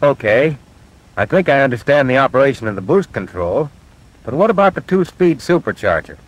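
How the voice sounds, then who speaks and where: A young student pilot, eager and attentive. His medium-pitched voice moves up and down a lot, with an earnest, questioning manner. It has the style and sound of a vintage 1940s military training film. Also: sing-song, fairly quick, lo-fi sound. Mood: earnest, curious.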